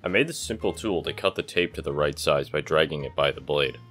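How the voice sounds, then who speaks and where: A man's voice: rumbling low, expressive, fairly quick, fairly bright tone, soft background music. A young man casually narrating a DIY electronics project, with dry, understated humor. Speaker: DIY electronics video maker.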